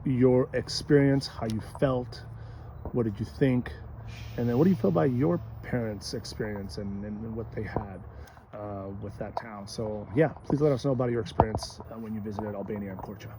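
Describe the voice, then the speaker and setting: A male interviewer with a mellow, warm voice asks numbered questions in a friendly and orderly way. A faint background noise sits under his voice. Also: on the low side, steady tone, normal speed, rough recording.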